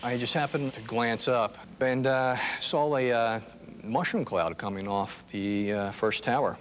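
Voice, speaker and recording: A man, an air traffic controller, giving a halting personal recollection in an interview. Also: mid-range voice, muffled recording.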